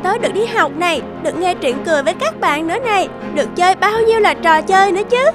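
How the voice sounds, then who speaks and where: A woman voicing a tiny cheerful girl fairy in an animated cartoon, childlike, exuberant and a little wistful. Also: very high voice, fast talker, music in the background. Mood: joyful.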